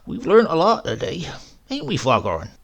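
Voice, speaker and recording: A man's voice: fairly high pitch, huge pitch swings, normal speed, fairly bright tone, voice pushed up, heavily acted. A man voicing a sly old countryman uncle, drawling and earthy, with a mock-meek air. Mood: grumbling.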